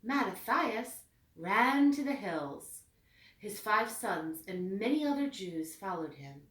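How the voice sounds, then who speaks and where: A woman reading a storybook aloud with expressive, deliberate phrasing meant for children. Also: medium pitch. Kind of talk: read-aloud storybook narration. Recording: echoey room, distant mic.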